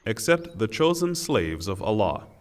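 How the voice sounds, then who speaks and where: A man reading a scripture translation in a solemn, formal, deliberate way, with pauses. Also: fairly low pitch, very animated. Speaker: translation reader. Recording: long hall reverb.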